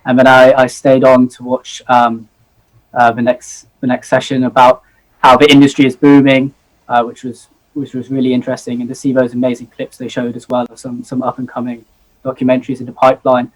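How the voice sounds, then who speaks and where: A young man in his twenties joins a live show by video call, earnest and eager, with frequent ums and uhs. His pitch is average and stays quite flat and even, and he comes across through a laptop-style call microphone. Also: fairly quick, rough recording. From Hong Kong. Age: 20s. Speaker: zoology student guest.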